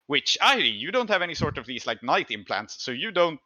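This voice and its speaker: Brief: male game master, conversational and halting, very bright forceful tone, close dry but slightly dull lo-fi recording. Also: fairly high pitch, very animated, no echo. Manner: halting, conversational, thinking aloud. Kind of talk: improvised tabletop game narration.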